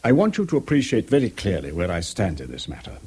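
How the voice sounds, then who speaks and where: A low, very breathy-husky English man in authority in a 1970s radio play. He is formal, tactful and embarrassed, halting often as his pitch rises and dips. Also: huge pitch swings, a little mellow, heavily acted. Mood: earnest, concerned. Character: embarrassed, supportive senior male official, formal and tactful. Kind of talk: 1970s British radio drama acting.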